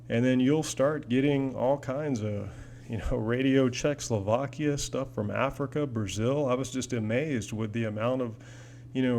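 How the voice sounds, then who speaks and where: A man talks casually to viewers as a hobbyist host, in a medium-pitched voice. He rambles in a folksy, enthusiastic way. The recording is a homemade indoor one with clear noise and hum behind him. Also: a little mellow. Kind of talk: casual product demo and chat. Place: indoors in an apartment. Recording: noisy home recording with audible hum.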